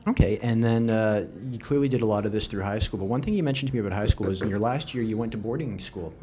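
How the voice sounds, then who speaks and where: Male podcast host, lowish voice, easygoing interviewer, faint hiss behind. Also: fast, warm tone. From Canada. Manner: friendly, chatty questions with fillers. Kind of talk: conversational interview.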